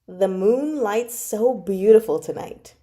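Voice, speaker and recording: A woman chatting casually as a book club host, recapping a novel with gushing, slangy asides and drawn-out emphasis. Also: mid-range voice, dark tone.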